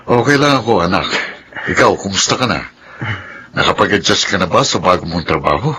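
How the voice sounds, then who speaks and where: A man's voice: low-pitched, lively intonation, a little fast, sharp tone, husky, heavily acted. An actor playing a shrewd, guarded middle-aged father in a Filipino radio drama, with a Filipino accent. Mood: gentle, resigned. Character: shrewd, guarded stepfather, gentle with his son.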